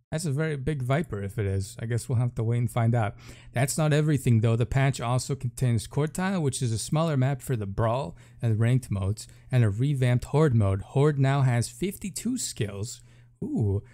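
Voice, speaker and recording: A man's voice: medium pitch, animated, normal speed, dark tone. A man giving casual live reaction commentary as a gaming video creator, thinking aloud informally as he reads along. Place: room at home.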